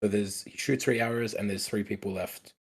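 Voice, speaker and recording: A man giving casual script feedback in an online meeting in a polite, tentative, halting way. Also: fairly low pitch, fairly even intonation, creaky, husky. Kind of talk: casual spoken critique. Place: indoors on an online meeting call.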